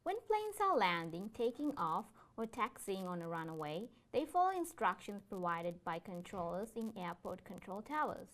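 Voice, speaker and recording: A woman's voice: mid-range voice, sing-song, normal speed, hardly any pauses, a bit bright. A woman presenting a scripted educational explainer.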